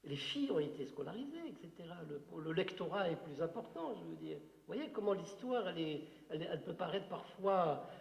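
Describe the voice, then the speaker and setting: Algerian-born man, fairly high, gravelly voice, rambling emphatic lecture, reverberant hall. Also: very animated, fairly quick, few pauses. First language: Algerian Arabic. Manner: digressive, emphatic, many filler "hein" and "euh". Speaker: author giving a talk. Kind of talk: unscripted lecture answering a question. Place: hall with audience. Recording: roomy hall with long reverb.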